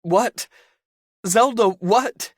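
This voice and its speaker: A man's voice: high voice, extremely slow, no echo, clean studio sound, pitched above the performer's own voice, exaggerated voice. A man voicing a distraught young man, raw and pleading by turns. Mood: frantic.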